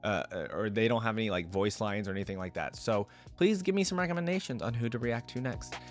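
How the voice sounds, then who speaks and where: A man's voice: lowish voice, lively intonation, quick pace, music in the background. A man hosting a reaction video, giving informal, conversational commentary straight to viewers.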